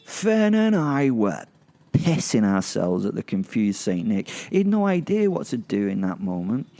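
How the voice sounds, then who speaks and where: Horror audio drama narrator: male, expressive swings in pitch, gravelly, wry, gleeful first-person storytelling. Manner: wry, gleeful, halting for effect.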